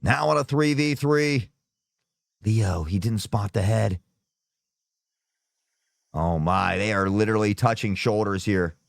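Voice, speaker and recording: A male esports caster with a slightly sore throat, calling play-by-play and erupting into loud shouts on big plays. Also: on the low side, takes it slowly, creaky, long pauses, no room sound.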